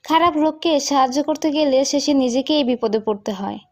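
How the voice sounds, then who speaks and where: High-voiced woman storyteller. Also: moderate pace. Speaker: story narrator. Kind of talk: scripted cartoon folk-tale narration.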